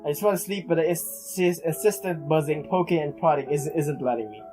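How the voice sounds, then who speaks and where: Higher-pitched man reading haltingly over music. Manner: halting, stumbles and repeats words while reading. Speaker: let's play host. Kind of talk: reading visual novel narration aloud with casual commentary.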